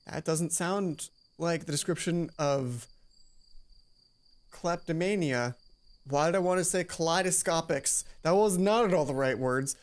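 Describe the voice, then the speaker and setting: A woman doing casual game commentary and reading a visual novel aloud, chatty and self-deprecating, reacting out loud to the story. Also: sits low, measured pace. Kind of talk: casual game commentary and narration with character voices. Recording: music behind the voice. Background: game music.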